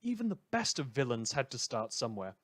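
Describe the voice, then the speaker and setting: A man's voice: medium pitch, very animated, a little fast, a bit bright, no echo. A man playing a sardonic radio host in a superhero world, delivering scripted satirical news with dramatic, sarcastic emphasis. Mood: dismissive.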